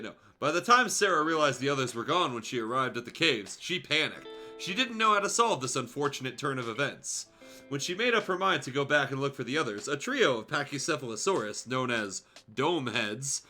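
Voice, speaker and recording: A man's voice: mid-range voice, sharp tone. A man livestreaming a read-aloud of fan fiction, breaking off for sardonic, sweary, off-the-cuff commentary.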